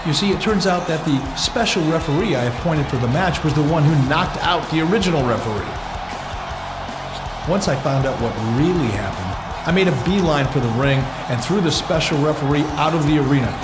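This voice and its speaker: A man's voice: a little high, lively intonation, fairly quick, lightly acted. A man playing a stern, commanding general manager making formal rulings in a wrestling video game. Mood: firm, official. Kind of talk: arena announcement in a wrestling game cutscene. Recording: echoey arena microphone, loud music behind.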